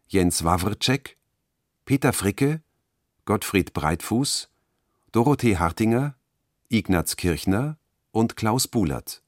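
A man announcing radio-play credits from a script in deliberate, clearly separated phrases. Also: on the low side, no room sound, studio quality.

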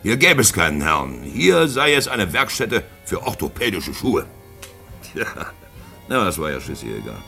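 A shady postwar profiteer in a radio drama. His voice is low and forceful, with big swings in pitch as he shifts from sentimental musing to boastful, tipsy swagger. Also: moderate pace, creaky, faint music behind, heavily acted. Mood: reminiscing. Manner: expressive, swinging between musing and outbursts. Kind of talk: German radio play acting with first-person narration.

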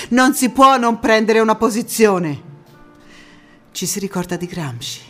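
An actress playing a passionate wartime partisan woman in a theatrical monologue, phrasing every line with deliberate emphasis. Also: medium pitch. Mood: fervent, urgent. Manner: emphatic, deliberate phrasing swinging between hushed intimacy and fervour. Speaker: stage actress. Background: music.

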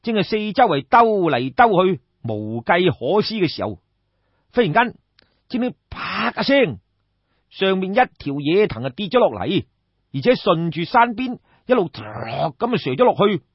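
A man with a Cantonese accent telling a folk tale as an animated audiobook storyteller. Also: sits high, measured pace, husky, no room sound, dull audio.

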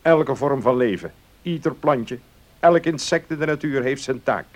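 An actor playing an opinionated gentleman baron in a radio play, arguing earnestly in a declamatory way. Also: medium pitch, animated, close to an everyday voice. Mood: earnest, lecturing. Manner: declamatory, deliberate argument. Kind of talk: Dutch radio play acting.

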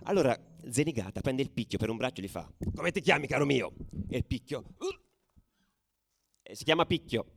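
A male actor performs a fiery football ultra telling his story as a radio play monologue. His mid-pitched voice moves through a very wide range, volatile and raw in its wording. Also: animated, a little fast, gravelly, husky. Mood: agitated. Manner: colloquial, foul-mouthed, volatile, swinging from hushed to shouted. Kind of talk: dramatic first-person storytelling, radio theatre.

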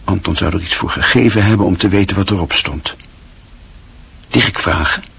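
Very husky, low-voiced man narrating. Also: moderate pace, a bit bright. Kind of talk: scripted literary narration for radio drama.

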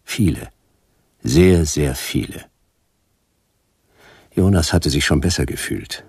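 An actor playing a laconic, world-weary detective who narrates and speaks tersely, with dry deadpan, in a radio drama. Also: male voice, extremely low pitch, slow pace, breathy edge, no echo, exaggerated voice. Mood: tense, terse narrating. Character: laconic, hard-boiled male sci-fi detective, wry and world-weary.

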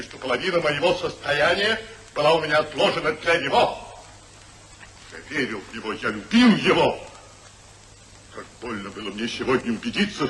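An actor playing a rich, sentimental old uncle in theatrical 1950s radio comedy, declamatory and full of exclamation. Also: male voice, a little high, close to an everyday voice. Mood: wounded, bitter. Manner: declamatory, exclaiming, savours words with comic indignation. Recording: roomy, faintly noisy 1950s radio recording.

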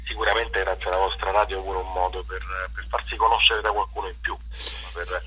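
A man's voice: deep voice, little pitch movement, fast, sharp tone, breathy edge, rough recording. A man being interviewed as a radio guest over the phone, informal, rambling and hesitant.

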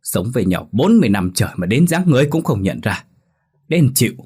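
A man's voice: medium pitch, animated, normal speed, voice pushed up, close to an everyday voice. An older man playing a grumpy old husband who grumbles in a slurred drawl.

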